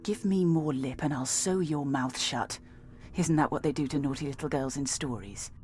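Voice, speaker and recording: A woman's voice: low voice, normal speed, darkish tone, slight background noise, natural voice. An actress playing a stern, sardonic female assassin in a video game, with a dry, threatening delivery.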